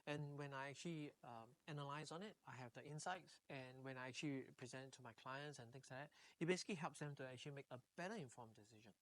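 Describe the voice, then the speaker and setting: A man's voice: mid-range voice, fast, hardly any pauses, a little hiss or hum, low-quality recording. A middle-aged man with a Singaporean accent, talking casually as an interview guest. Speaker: podcast guest, real estate agent.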